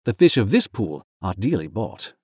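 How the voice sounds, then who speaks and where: A man acts out a grave storybook captain, stern and judicious. He commands in formal, old-fashioned phrases with a wide-moving pitch, recorded dry and close. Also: sing-song, normal speed, slightly rough, no room sound. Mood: stern questioning.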